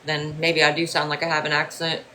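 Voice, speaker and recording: Casual livestream talker, from Ohio, bright tense voice, mid pitch, rambling, profane. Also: monotone, brisk, slight background noise, poor audio. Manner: rambling, repetitive, swears freely. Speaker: livestreamer answering chat.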